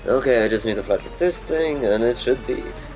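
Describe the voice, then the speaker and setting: A man thinking aloud in a halting, muttering way while playing a video game. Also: medium pitch, dull audio. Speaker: gamer. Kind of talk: gameplay commentary. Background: game music.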